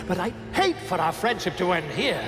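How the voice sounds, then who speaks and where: Theatrical game adventurer, high voice, grandiloquent, effusive, florid, echoing hall, music behind. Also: male voice, very animated, normal speed. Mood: effusive, delighted. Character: flamboyant, grandiloquent male bard-like adventurer, effusive. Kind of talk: video game character acting.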